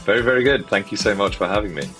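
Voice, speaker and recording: A man's voice: on the low side, very fast, bright, forceful tone, faint music behind. A man from the Czech Republic who speaks with an English accent, talking as a founder in an interview, with a rambling delivery full of "kind of" and "basically". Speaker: guest, startup founder. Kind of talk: conversational interview.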